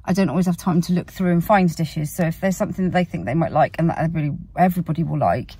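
A British woman talks casually in a fairly low, raspy voice. She wanders and corrects herself as she goes, hunting for the right word. The sound is a little distant, like a phone recording inside a parked car. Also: very fast, warm tone, no room sound. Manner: rambling, word-searching, self-correcting. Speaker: family vlogger. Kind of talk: casual chat to camera.

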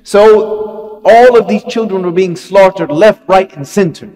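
A man giving a Friday sermon, telling a story with lively emphasis, repeating phrases and putting questions to the congregation. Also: high-pitched, a little slow, low-quality recording.

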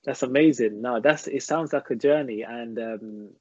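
A man's voice: mid-range voice, no room sound. A man, hosting a podcast interview over a video call from home, often restarting his sentences. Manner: halting, lots of restarts. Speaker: podcast host. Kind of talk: casual interview chat. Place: small room at home. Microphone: video call with earbuds. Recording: dry, muffled video call audio.

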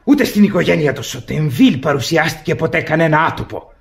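A man's voice: on the high side, animated, vocal fry. An actor playing a pompous older nobleman, a proud father-in-law in a radio comedy, formal and self-important. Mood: proud indignation. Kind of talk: radio theatre comedy acting.

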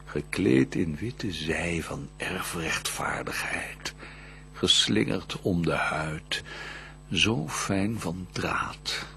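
An actor playing Lucifer, a vengeful, scheming fallen angel, in a theatrical radio play, declaiming in a husky, raspy voice that swings from hushed to roaring. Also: male voice, low voice, expressive, very slow, slight background noise, exaggerated voice. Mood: bitter, furtive. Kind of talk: theatrical radio drama verse monologue.

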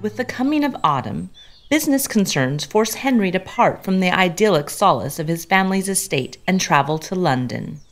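A woman delivers dramatic narration for a period tale. Her lowish voice moves expressively and builds suspense, with music clearly underneath. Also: lively intonation, moderate pace. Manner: theatrical, suspenseful.

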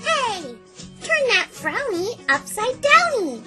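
A woman voicing a bubbly, childlike, scatterbrained cartoon pony with excitable, cutesy talk. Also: very high-pitched, slow pace, loud music behind the voice, exaggerated voice. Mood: excited.

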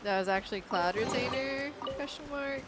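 A woman's voice: sits high, normal speed. A woman from the Philippines giving casual, enthusiastic reactions as a gaming YouTuber during a playthrough. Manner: enthusiastic, exclaiming. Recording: game music behind voice.

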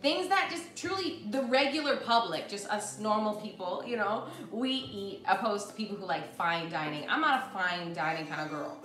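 A woman's voice: medium pitch, lively intonation, moderate pace, few pauses, fairly bright tone, roomy sound. A young woman hosting a live cooking show from her home kitchen, chatty and bubbly, calling out to viewers as she works. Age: young adult. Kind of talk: live cooking stream, casual chat. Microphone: fixed camera at counter.

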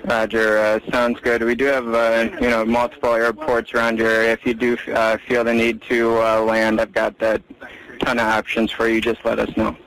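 A man's voice: mid-range voice, monotone, normal speed, fairly bright tone, low-quality recording. A man in his thirties working as an air traffic controller, speaking over aviation radio with hesitant, reassuring phrasing. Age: 30s.

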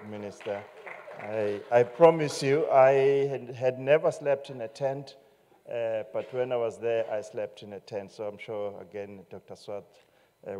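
Low-voiced man hosting an event. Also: dark tone. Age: 50s. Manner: hesitant, with many fillers and repeats, good-humoured. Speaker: agency director-general and master of ceremonies. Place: large marquee tent at an outdoor event. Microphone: podium microphone on a stand. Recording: reverberant live event sound.

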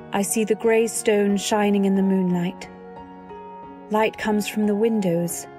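An actress playing a wistful, refined young wife narrating her memories in an old-time radio drama, measured and literary. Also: mid-range voice, dark tone, lightly acted.

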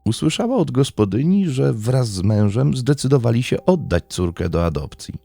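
A man reads a true crime script in a dark, mellow tone with lively, varied intonation. His delivery is measured and dramatic. The recording is close and dry, with faint music behind him. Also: medium pitch, gravelly, no echo. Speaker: true crime podcast narrator. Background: faint background music.

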